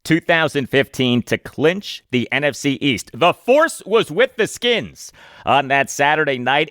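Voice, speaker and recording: A man's voice: medium pitch, fairly bright tone, no echo. Male American sports podcast host, dry sound. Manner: emphatic, deliberate announcer delivery with repeated phrases. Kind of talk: sports podcast monologue, reading listener mail.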